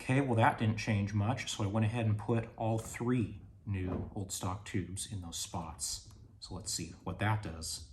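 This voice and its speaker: Man, low voice, repair tutorial host, step-by-step explaining, garage workshop, faint background hum. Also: steady tone, a little slow. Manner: plain, step-by-step explaining while working. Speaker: radio restoration host.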